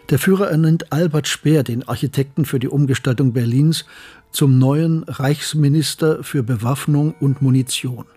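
An actor playing a sober male narrator who recounts wartime history in a measured, factual way, with a husky voice. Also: mid-range voice, mellow. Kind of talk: scripted narration in a radio play.

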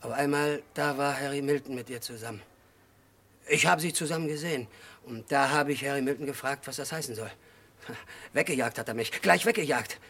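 A crazy childlike murderer in an old radio krimi, husky voice, choppy confession, unstable. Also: male voice, mid-range voice. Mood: bitter, giggly. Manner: halting, disjointed short sentences, swinging from hushed to agitated outbursts. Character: unhinged, childlike male murderer confessing to his crime. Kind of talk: mid-century German radio crime drama acting.

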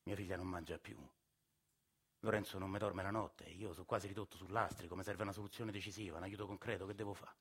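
A man plays a put-upon working man from the Roman outskirts in a stage monologue. His voice is bright and forceful, with a Roman accent. He speaks colloquially, as a plaintive, exasperated pleader at the end of his rope. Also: low-pitched, fairly even intonation, fast, breathy edge, full character voice. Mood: dejected. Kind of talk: theatrical monologue with several characters.

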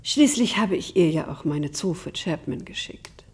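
A woman's voice: fairly low pitch, expressive, measured pace, darkish tone, breathy edge, full character voice. An actress playing a languid, mildly vain genteel lady in a radio play, placid and complacent. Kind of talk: German radio play acting.

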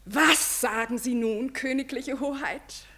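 An actress playing a gossipy, deferential aristocratic lady in a radio play, telling her tales effusively with dramatic, drawn-out emphasis. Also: high-pitched, bright, forceful tone, heavily acted. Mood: dramatic, impressed. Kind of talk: German radio play acting.

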